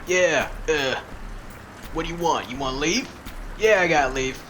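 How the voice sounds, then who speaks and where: Dude acting a stoner street dealer, drawly and slangy, kinda high voice, unhurried, music under it. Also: male voice, a bit bright, a little hiss or hum. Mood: laid-back, pushy. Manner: drawling slang, mocking, says dude constantly. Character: laid-back stoner male street drug dealer, mouthy slacker. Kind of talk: audio drama acting. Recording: music behind the voice.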